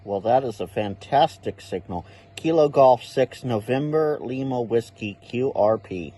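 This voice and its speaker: A man's voice: mid-range voice, lively intonation, a little slow, warm tone. An American man hosting a casual outdoor vlog as a radio operator, recorded on a camera in a park. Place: outdoors in a park by a baseball field. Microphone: camera without external microphone.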